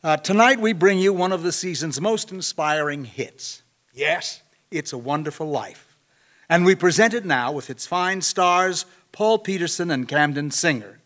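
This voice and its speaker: A man's voice: a little high, unhurried, a bit bright. A man playing a radio show announcer, with a grand 1940s announcer delivery. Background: music.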